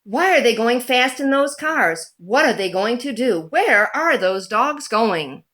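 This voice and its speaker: A woman reading a children's picture book aloud in a deliberate, animated storytelling manner. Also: a little high.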